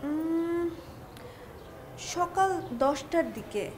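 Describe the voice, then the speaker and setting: An actress with a Bangladeshi accent playing a meek, courteous young wife in a TV drama, speaking politely and hesitantly. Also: female voice, very high voice, animated, drawn-out words, background noise, exaggerated voice. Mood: polite, coaxing. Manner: polite, hesitant, soft pleading. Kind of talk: natural TV drama acting.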